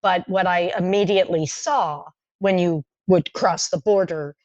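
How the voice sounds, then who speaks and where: A middle-aged woman whose first language is French, recalling her childhood in a podcast interview, talking in a thoughtful, halting way with many fillers and restarts. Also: fairly low pitch, darkish tone, no echo, dull audio. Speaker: playwright guest.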